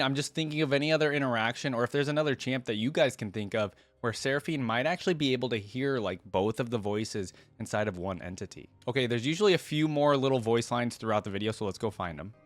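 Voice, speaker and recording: Male reaction host, chatty and musing, average pitch, dry close mic. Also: animated, quick pace, few pauses, no echo. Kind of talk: casual off-the-cuff commentary.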